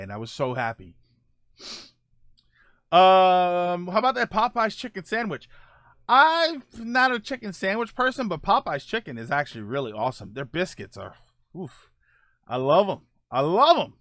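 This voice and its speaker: A man's voice: a little high, fairly bright tone, dry recording, studio quality. A man chatting casually as host of a live stream, rambling and joking as he answers viewers.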